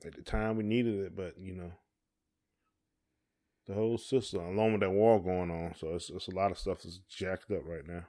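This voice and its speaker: A man's voice: sits low, fairly even intonation, normal speed, warm tone. A man co-hosting a podcast, talking casually in folksy, colloquial phrasing. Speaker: podcast co-host. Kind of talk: casual conversation.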